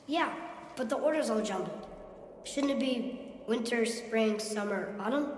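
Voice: medium pitch, a little slow, natural voice. A young performer playing an earnest, inquisitive boy adventurer in a video game, thinking aloud and asking questions. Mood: puzzled, earnest. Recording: echoey reverb, noisy game ambience.

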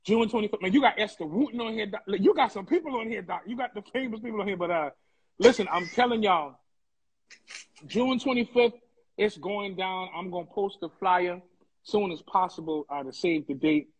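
A man's voice: sits high, fairly quick, breathy edge, rough recording. A young American man chatting casually on a livestream into a phone held close, effusive and colloquial, often repeating himself to hype others up. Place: indoor room, live video stream.

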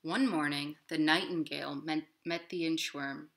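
A woman hosting storytime, speaking in simple, clear phrases to young children. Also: low-pitched, steady tone, moderate pace, fairly bright tone. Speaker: children's library storytime host. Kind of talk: storytime for young children, talking and reading aloud. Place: living room at home, indoors.

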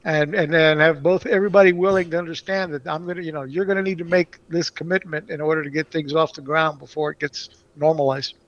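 A man's voice: a little high, steady tone, quick pace, few pauses, a bit bright, dry recording, muffled recording. A man who grew up in Puerto Rico, answering interview questions in a rambling way with many restarts and fillers. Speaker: guest.

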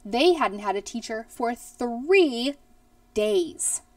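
Animated, high-voiced woman reading a children's story. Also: takes it slowly, dry recording, far from the mic. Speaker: teacher reading aloud to pupils. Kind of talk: expressive read-aloud of a children's story. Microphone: headset boom mic.

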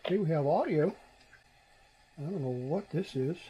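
A middle-aged man gives a casual hands-on tutorial as he works, thinking aloud in an unhurried way. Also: on the high side, lively intonation, noisy background. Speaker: electronics repair hobbyist and host. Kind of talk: casual hands-on tutorial narration while working. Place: small indoor workbench.

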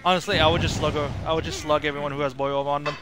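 A young male streamer with a slightly high voice talks casually through his gameplay. He rambles and gives frank opinions. Clear noise from a horror game runs behind him on the live stream. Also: little pitch movement, rapid-fire. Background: horror video game sound.

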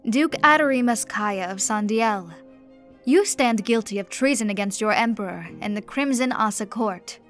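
A woman voicing a ruthless male vampire emperor in an audiobook, speaking in a loud, commanding way. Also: a little high, moderate pace, faint music behind, natural voice.

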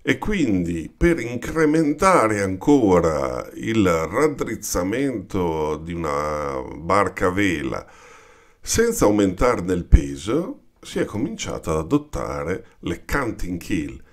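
A man with an Italian accent, explaining yacht design in an unhurried, informal voice-over over pictures. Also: sits low, big pitch swings. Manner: unhurried and explanatory, addresses viewers with "vedete".

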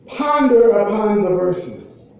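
A man with an American accent preaching a sermon in an emphatic way, repeating phrases and pausing between them. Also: sits high, lively intonation, vocal fry. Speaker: preacher giving a Friday khutbah. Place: indoor prayer hall, at a raised pulpit. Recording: muffled, very echoey hall, far from mic.

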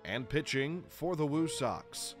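A man's voice: a little high, animated, slow. A man in his thirties with an American accent, announcing as a baseball public address announcer and stretching out names in a showman's delivery. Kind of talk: scripted sports PA announcing. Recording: music behind the announcements. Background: music.

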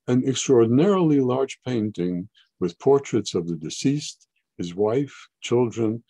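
An older man giving an online art history talk as a guide, measured and explanatory with occasional hesitations, over a webcam. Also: on the low side, mellow, slightly rough, no echo. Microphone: webcam on video call.

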